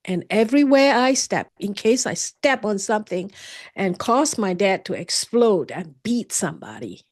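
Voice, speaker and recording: A woman in her sixties from Singapore, a guest author telling her story earnestly, with frequent restarts and halting phrasing. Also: medium pitch, animated. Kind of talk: candid personal storytelling.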